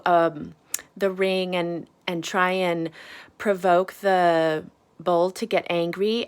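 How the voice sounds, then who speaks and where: A woman reading a children's storybook aloud with casual asides, in deliberate phrases with emphasis on key words. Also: lowish voice, clear, resonant tone. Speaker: storybook reader.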